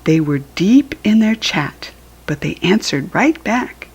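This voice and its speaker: A woman's voice: lowish voice, expressive, slow pace, creaky, breathy edge. An American woman reading a picture book aloud to young children, with simple, instructive asides. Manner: acts out animal sounds while reading.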